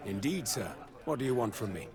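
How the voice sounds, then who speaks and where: A scornful, devout father from a game cutscene, played by a man. He is middle-aged, with a medium-pitched voice. His formal delivery is haughty and bitter. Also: lively intonation, brisk, close to an everyday voice. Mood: curt, scornful. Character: stern, pious, scornful father of grown daughter. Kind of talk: video game cutscene dialogue.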